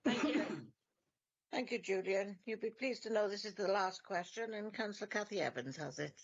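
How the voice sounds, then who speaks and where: A woman with a Welsh accent chairing a council meeting, brisk and polite. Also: mid-range voice, slightly rough. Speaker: committee chair. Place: video call. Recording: dry, lo-fi call audio.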